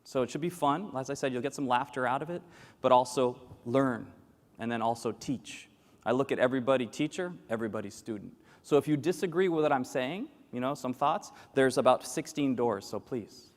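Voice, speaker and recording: A man in his forties giving a lively conference keynote, joking and quizzing the audience as he talks. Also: mid-range voice, fairly quick. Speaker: keynote speaker. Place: large conference hall with audience at tables. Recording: long reverb of a large hall.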